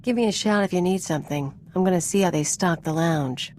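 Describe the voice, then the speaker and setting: An actress playing a laid-back, irreverent mercenary companion in a video game, casual and offhand. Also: female voice, on the low side, brisk, darkish tone, slight background noise, close to an everyday voice. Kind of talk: scripted game dialogue.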